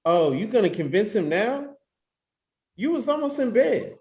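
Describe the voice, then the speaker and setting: Man playing laid-back young man, highish pitch, lively intonation, casual slang, teasing humor. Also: unhurried, full character voice. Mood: joking. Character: Kev, laid-back joking young man, the fun friend. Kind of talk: screenplay table read.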